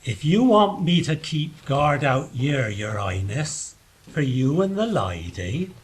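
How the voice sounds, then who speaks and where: A man's voice: a little high, very animated, takes it slowly. A man playing a dim-witted, chatty castle guard who rambles and bumbles along. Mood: bumbling.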